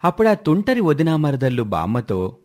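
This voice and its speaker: A man narrating a scripted moral tale as an expressive storyteller. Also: a little high, moderate pace.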